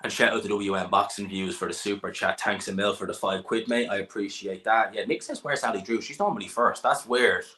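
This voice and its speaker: A man's voice: fairly low pitch, a little fast, hardly any pauses, bright, forceful tone. A man hosting a live boxing chat stream, joking and bantering with a guest. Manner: jokey, banter-heavy, laughs often. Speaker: boxing channel host.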